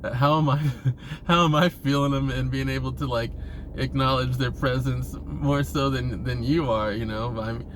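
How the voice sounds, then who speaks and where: A man giving a casual, rambling monologue to viewers, halting and repeating himself, with informal, sweary phrasing. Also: mid-range voice, fairly even intonation, fairly bright tone, slight background noise. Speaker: vlogger.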